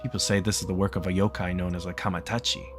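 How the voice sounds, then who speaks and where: A man voicing an ominous male lodge guest who quietly explains local folklore. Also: low voice, flat delivery, racing, music in the background, lightly acted.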